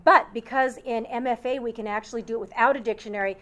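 A woman's voice: fairly high pitch, steady tone, quick pace, fairly bright tone. A woman presenting at an academic conference, answering audience questions about her research with an upbeat, explanatory manner.

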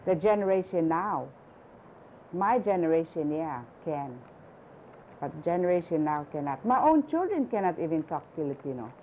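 An older woman with a fairly low voice, talking at an easy conversational pace. She keeps tagging her sentences with "you know" and "you see." A faint hiss of noise sits under the recording. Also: very animated, normal speed, dark tone, highs cut off. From Hawaii. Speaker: interviewee. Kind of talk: casual conversation.

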